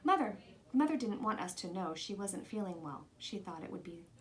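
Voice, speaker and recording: Woman reading as an earnest, animated storybook mother. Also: mid-range voice, moderate pace, voice pushed down, close to an everyday voice. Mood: weary, worried. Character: caring, gently scolding mother in a storybook.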